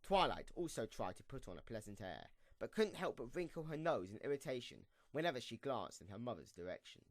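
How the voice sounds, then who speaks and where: A man's voice: medium pitch, very animated, fairly quick, no room sound. A man reading scripted story narration as the narrator of an audio drama.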